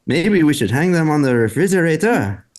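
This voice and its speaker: Man playing a lively, high-pitched cheerful kids' character. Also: moderate pace. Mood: upbeat, curious. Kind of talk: table read of a children's story.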